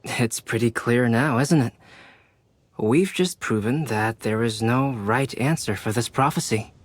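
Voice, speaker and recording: A man voicing a calm, perceptive scholar in a video game, measured and articulate. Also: medium pitch, natural voice. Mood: composed, deductive. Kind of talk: English video game voice acting.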